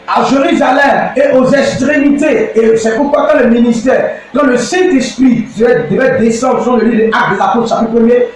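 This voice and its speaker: A man from Côte d'Ivoire with an Ivorian French accent, preaching an impassioned address as a pastor, often shouting and drawing out words for emphasis. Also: very high-pitched, heavy reverb, distant mic, slight background noise, rough recording. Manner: declamatory, often shouting, with slow emphatic stretches.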